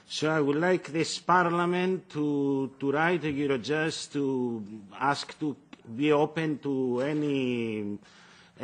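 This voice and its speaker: Halting man pleading his petition. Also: medium pitch. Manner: halting, with repeated words and restarts. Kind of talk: formal hearing statement. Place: large parliamentary committee room.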